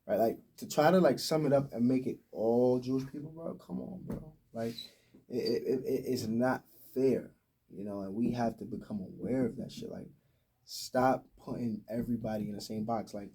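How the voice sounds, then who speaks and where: A man's voice: mid-range voice, fairly even intonation, moderate pace, mellow, husky. An American man in his twenties talking casually and emphatically in slang on a podcast, picked up slightly from a distance. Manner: talkative, emphatic, slang-heavy.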